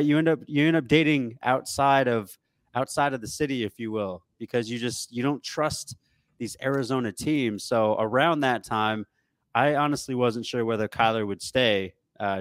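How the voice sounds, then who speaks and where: A man's voice: medium pitch, no room sound. A man co-hosting a podcast, chatting casually about sports in a slangy, mildly sweary way. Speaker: podcast co-host.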